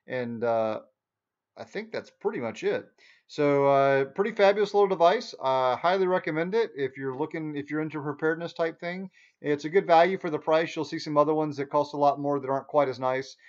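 A man in his forties giving a casual, folksy, unscripted product review to camera in a small room at home. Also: brisk. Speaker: product reviewer, video host. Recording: dull, muffled low-fi sound.

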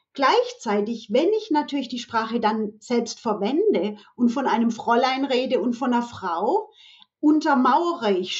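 A woman speaking as an expert guest, explaining didactically with examples and rhetorical questions, in a slightly muffled recording. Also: sits high. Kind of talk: explanatory interview talk.

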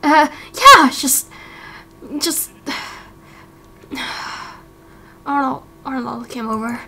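A woman voicing an uneasy young man turned angel in a game roleplay, hesitant and halting. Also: high-pitched, gravelly, bright, forceful tone, exaggerated voice. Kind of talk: Minecraft roleplay voice acting.

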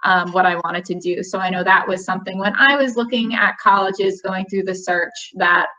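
A woman's voice: medium pitch, fairly even intonation, moderate pace, pushed and bright, highs cut off. A young woman from a college admissions office answering webinar questions in a friendly, explanatory way with many fillers, over a video call. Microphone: video call webcam.

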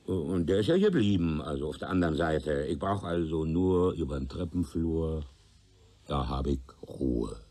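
An actor playing an evasive, flustered tenant under questioning in a radio crime drama, hesitant and colloquial. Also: male voice, rumbling low, lively intonation, normal speed, warm tone. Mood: chatty. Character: nervous, evasive male witness, a nosy tenant turning flustered.

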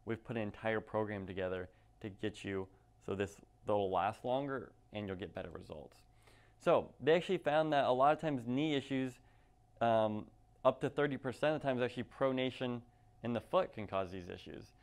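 A young man, a clinic doctor, speaking to camera in a conversational, persuasive way and asking rhetorical questions. He is recorded indoors in an office room on a lapel mic. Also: on the low side. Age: young adult. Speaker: clinic doctor giving a patient talk. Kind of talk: direct-to-camera health presentation.

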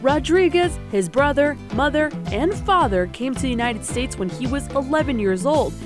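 A woman's voice: sits high, lively intonation, moderate pace, over music. A woman narrating a TV news feature in a scripted reporter delivery. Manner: bright, lilting reporter delivery.

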